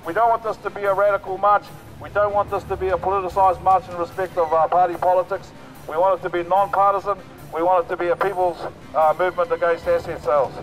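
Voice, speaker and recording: Hoarse, rousing New male rally speaker, outdoors on a megaphone. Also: high voice, little pitch movement, fast talker, faint music behind, poor audio. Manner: rousing, repetitive, with fillers. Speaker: protest march speaker. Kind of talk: outdoor rally speech through a loudspeaker. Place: outdoors on a city street.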